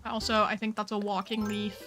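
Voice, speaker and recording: A woman's voice: medium pitch, level tone, brisk, fairly bright tone, soft background music. Bubbly young woman streamer. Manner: chatty, bubbly, says "like" a lot. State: slightly losing her voice. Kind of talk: casual game stream chat.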